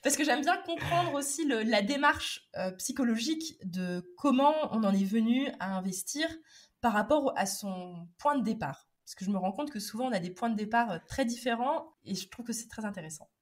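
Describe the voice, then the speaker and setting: A woman's voice: on the high side, animated, normal speed. Woman podcast host, friendly and chatty. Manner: friendly, hesitant with fillers. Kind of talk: scripted intro then casual interview.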